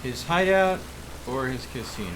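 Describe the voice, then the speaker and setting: A man giving casual live gameplay commentary as a streamer, thinking aloud deliberately and addressing his viewers. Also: mid-range voice, expressive, background noise. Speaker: video game livestreamer.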